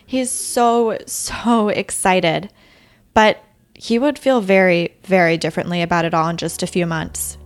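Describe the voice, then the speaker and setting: A woman's voice: on the low side, steady tone, unhurried. A woman hosting a podcast, telling a story in scripted narration with a conversational turn. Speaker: podcast host.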